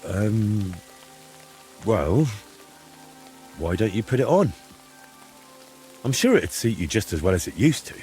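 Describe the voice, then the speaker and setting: An actor playing a kindly, patient young man in a medieval video game, gentle and slightly hesitant as he coaxes. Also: fairly low pitch, expressive, takes it slowly, pauses often, faint music behind. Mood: gentle, coaxing. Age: young adult. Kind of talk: dramatic video game dialogue.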